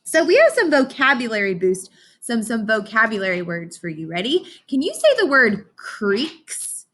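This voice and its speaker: Lively, slightly husky woman teaching young children. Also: fairly high pitch, moderate pace. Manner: encouraging, instructional, with frequent questions to children. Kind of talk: interactive read-aloud phonics lesson.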